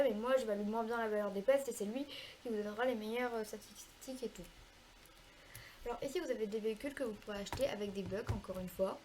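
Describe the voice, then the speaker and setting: A congested woman with a cold talks through a video game, her voice sitting a bit high. She chats casually and hesitates often, filling pauses as she plays along. A faint hiss runs underneath. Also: darkish tone, rough recording. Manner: chatty, hesitant, frequent filler pauses. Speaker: gaming video creator. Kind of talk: casual gameplay tutorial commentary.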